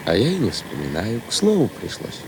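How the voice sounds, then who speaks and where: An actor playing a chatty, jaunty working-class husband in a radio play, colloquial and theatrical. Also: male voice, lowish voice, wildly swooping pitch, fairly quick, noisy background. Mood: jaunty, affectionate.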